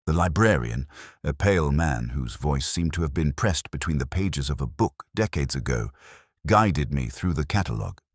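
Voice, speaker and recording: A man narrating a scripted first-person detective story in measured, literary phrasing. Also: very deep voice, lively intonation, no echo, clean studio sound.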